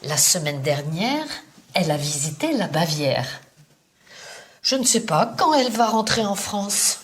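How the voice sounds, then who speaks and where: An actress playing a friendly landlady in a scripted textbook dialogue, lively and hospitable. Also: on the low side, unhurried, slight background noise. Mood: cheerful. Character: Madame Dumas, a friendly landlady. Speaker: landlady character.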